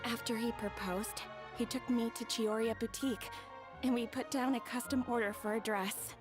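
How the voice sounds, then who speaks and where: A woman's voice: fairly high pitch, steady tone, normal speed, over music, lightly acted. A young woman playing a sweet, humble, grateful bride-to-be in a video game. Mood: wistful, earnest.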